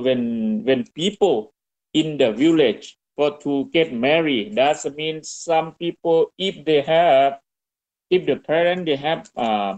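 A man from Thailand with a Thai accent, Thai as his first language, giving interview answers in halting, broken English full of restarts. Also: a little high, a little mellow, rough recording. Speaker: guest, restaurant owner.

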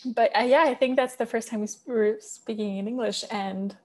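A woman's voice: a little high, fairly even intonation, moderate pace, darkish tone. A young woman from Winnipeg with a Franco-Manitoban accent, a guest chatting in a podcast interview with many fillers and restarts. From Winnipeg, Canada. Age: young adult.

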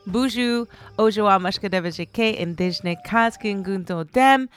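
A woman's voice: mid-range voice, moderate pace, faint music behind. A Canadian woman reading a scripted podcast introduction as its host. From Manitoba, Canada.

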